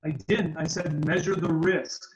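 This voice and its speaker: A man reading the part of a blunt, logical father at a screenplay table read, brisk and matter-of-fact. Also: on the high side, steady tone, lightly acted, poor audio.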